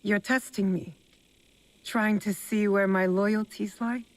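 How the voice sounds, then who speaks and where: A woman's voice: mid-range voice, steady tone, slow, natural voice. An actress playing a determined female shinobi assassin in feudal Japan, cool, terse and direct, in a video game. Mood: guarded. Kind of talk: scripted video game cutscene acting.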